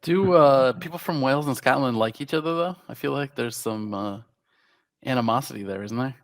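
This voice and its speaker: A man's voice: mid-range voice, brisk. Laughing, talkative man on a podcast. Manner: laughs often, explains at length. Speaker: podcast co-host. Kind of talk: casual chat.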